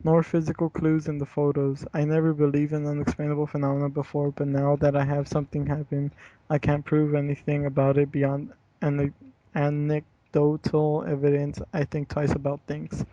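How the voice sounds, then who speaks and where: A man's voice: a little high, monotone, moderate pace, warm tone. A young man reading a horror story aloud and chatting casually, with slangy, profane language. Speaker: story reader. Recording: dull lo-fi home recording, roomy.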